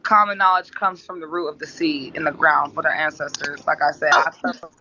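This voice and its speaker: A woman's voice: low voice, fairly quick, sharp tone, a little hiss or hum. A woman talking at length in an interview clip, insistent and assertive, repeating her points. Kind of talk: rambling monologue. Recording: dull lo-fi clip.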